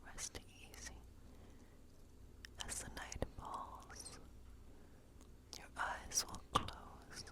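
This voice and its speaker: A woman whispering gently and breathily in an ASMR role play as a kindly witch who reads tarot. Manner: gentle, slow, soothing reassurance. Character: kindly witch reading tarot in her woodland cottage. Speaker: ASMR performer playing a witch.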